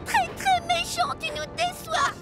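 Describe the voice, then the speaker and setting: A woman's voice: extremely high pitch, fairly even intonation, measured pace, creaky, over loud music, a loud crowd, exaggerated voice. A woman voicing a grumpy, fretful talking railway coach in a children's cartoon, scolding and exclaiming. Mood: alarmed, scolding.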